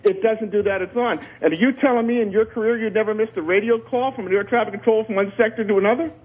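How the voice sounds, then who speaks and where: A man's voice: high voice, fairly even intonation, quick pace, slightly rough. A man calling in to a radio show, explaining aviation in a plainspoken, jokey way with anecdotes. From Canada. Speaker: radio show caller, former air traffic controller. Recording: muffled band-limited radio phone-in call.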